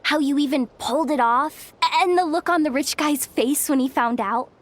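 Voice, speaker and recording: A woman's voice: high voice, normal speed, close to an everyday voice. An actress playing a loyal, kind-hearted, streetwise young woman in a video game, speaking in an earnest, plucky, slightly guarded way. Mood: wistful. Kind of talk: dramatic video game voice acting.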